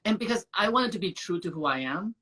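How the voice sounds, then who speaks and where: A man's voice: high-pitched, animated, a little fast, bright, forceful tone, rough recording. A man from Taiwan hosting a casual interview, chatting and sharing his own stories. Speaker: host.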